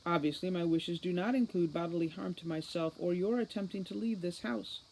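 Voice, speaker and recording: A man's voice: sits high, fairly quick, vocal fry, darkish tone, slight background noise, rough recording. A man voicing a smug, cold, precocious boy criminal mastermind in an audiobook, with formal, sardonic condescension. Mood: smug menace. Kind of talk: audiobook character reading.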